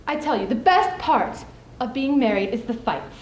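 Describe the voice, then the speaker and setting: In an echoey room with some noise behind, a young woman acts out a spirited widow on stage with a high voice. The scheming woman speaks emphatically, leaving deliberate, theatrical pauses. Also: sing-song. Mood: feisty, fond. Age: young adult. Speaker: student speech team performer. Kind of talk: theatrical dramatic monologue on stage. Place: indoor school showcase stage. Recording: echoey room, clearly audible background noise.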